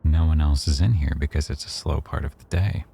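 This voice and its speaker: A man playing a rough-edged mafia boss who owns a cafe, teasing, probing and quietly threatening, in a boyfriend ASMR roleplay. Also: very deep voice, a little fast, a little hiss or hum. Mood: playful, proud. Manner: teasing, probing, smugly self-assured.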